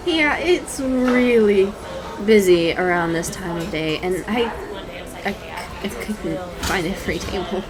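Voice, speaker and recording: A woman's voice: medium pitch, slow, crowd noise, close to an everyday voice, low-quality recording. A woman playing a shy, adorkable young writer in an audio roleplay, speaking hesitantly with stammers, nervous giggles and apologetic phrasing. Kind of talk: intimate one-sided audio roleplay acting. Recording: clear background noise, cafe ambience effects. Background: coffee shop sound effects.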